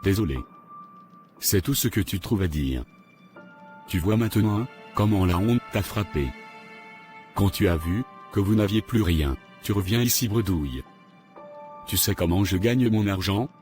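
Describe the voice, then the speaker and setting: A man playing a stern, scornful middle-aged father scolding in an animated drama. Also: low-pitched, measured pace, long pauses, faint music behind. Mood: scornful, angry.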